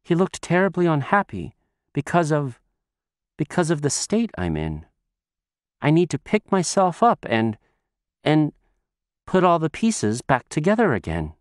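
A man reading a story and voicing a grieving mother who speaks softly and haltingly. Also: a little high, big pitch swings, dry recording, clean studio sound, voice pushed up, full character voice. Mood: tearful. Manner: soft, halting speech. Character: tearful grieving mother, gentle.

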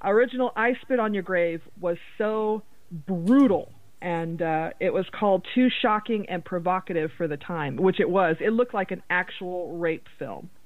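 A woman's voice: lowish voice, moderate pace, dry recording. Woman on a tinny phone line. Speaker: podcast co-host. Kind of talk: casual horror film chat.

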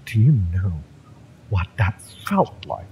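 A man's voice: deep voice, wildly swooping pitch, takes it slowly, vocal fry, dark tone, a little hiss or hum, exaggerated voice. A man playing a fiery, bitter young mercenary in an audio roleplay, sardonic and taunting, with sighs and swearing. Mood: hurt, angry. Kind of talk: romance audio roleplay acting, addressed to the listener.

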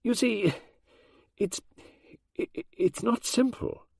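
A man's voice: high voice, slow pace, creaky, a little mellow, breathy edge, no echo, studio quality, pitched above the performer's own voice, heavily acted. A man reading aloud, voicing a shaken, flustered middle-aged female novelist who talks in a breathless, faltering rush. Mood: shaky.

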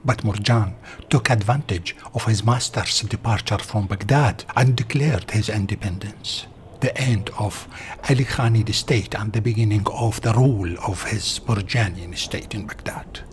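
A man's voice: fairly low pitch, moderate pace, a little hiss or hum. A man reads a scripted historical narration as a documentary narrator. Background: background music.

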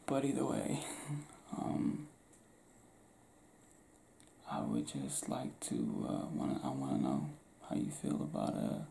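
Young man, half-whispered, laid-back, gravelly, close to the camera, small room. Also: a bass voice, wildly swooping pitch, takes it slowly, long pauses, dark tone, slight background noise. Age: young adult. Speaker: ASMR roleplay creator. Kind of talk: ASMR roleplay, spoken to the listener. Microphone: camera close to face.

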